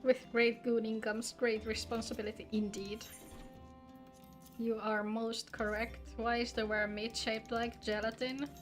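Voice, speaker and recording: A woman with a fairly high voice talks casually as she streams a game. Her manner is playful and informal, with some loose swearing. Music plays behind her. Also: little pitch movement, unhurried. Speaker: live streamer playing an online game. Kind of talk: casual gaming livestream chat.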